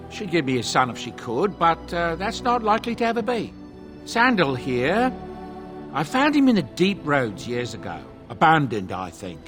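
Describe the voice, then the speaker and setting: Genial dwarven trader for a fantasy game, chatty and self-justifying, lively higher-pitched delivery. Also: male voice. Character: folksy, genial dwarven merchant, protective adoptive father. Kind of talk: video game character dialogue, storytelling.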